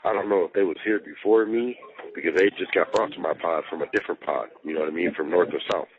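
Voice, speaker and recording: A man's voice: deep voice, fairly even intonation, quick pace. A man talking casually over a jail phone, venting in slangy, swear-heavy speech and repeating himself for emphasis. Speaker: jail inmate on a phone call to partner. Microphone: telephone handset. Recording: muffled, band-limited recorded jail phone call.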